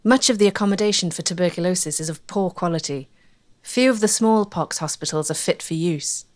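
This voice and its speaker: A woman reads scripted documentary narration in a measured, articulate way. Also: on the low side.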